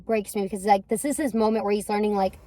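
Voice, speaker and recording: A woman's voice: medium pitch, steady tone, fast, mellow, no room sound. A young woman co-hosting a casual movie review from a parked car, rambling and enthusiastic with lots of fillers.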